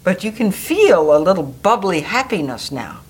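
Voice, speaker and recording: A woman guiding a meditation in a soft, gentle, soothing way. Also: fairly low pitch, sing-song, normal speed, breathy edge, poor audio. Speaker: meditation guide. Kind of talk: guided meditation.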